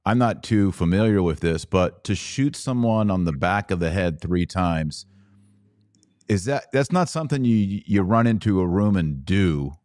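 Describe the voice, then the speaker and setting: A man with a low, deep voice asks questions and thinks out loud as a podcast host. He, and the sound is dry and close. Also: animated, measured pace, no echo. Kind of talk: casual podcast conversation.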